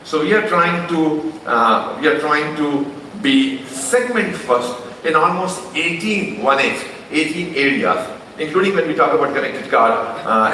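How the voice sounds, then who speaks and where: A man's voice: mid-range voice, normal speed, bright, forceful tone, a little hiss or hum. A man with an Indian accent, a company executive giving a loose, conversational presentation at a car launch, often restarting his sentences. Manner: conversational, with frequent restarts and fillers. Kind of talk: unscripted presentation speech. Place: large launch event hall. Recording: echoey hall from a distant mic.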